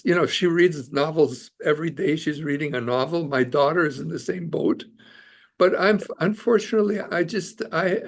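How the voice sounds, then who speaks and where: An older Canadian-born man, an immigration lawyer and author, talking earnestly as a podcast guest with many fillers and restarts. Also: a little high. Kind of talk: casual interview conversation.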